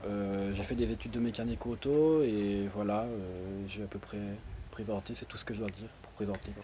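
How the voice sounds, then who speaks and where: Young Belgian guy, Liège accent, low mellow voice, unsure delivery. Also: fairly even intonation, slight background noise. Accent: Liège Belgian French. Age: 20s. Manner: hesitant, many pauses and fillers. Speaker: interview guest. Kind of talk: casual interview answers. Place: outdoors by a pool.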